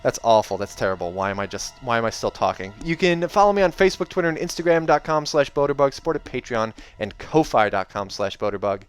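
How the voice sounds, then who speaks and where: A male host reads fiction aloud in an expressive narrator's style and slips into casual chat. His pitch is average for a man. Soundtrack music plays behind him. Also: quick pace, few pauses, clean tone. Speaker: reader and channel host.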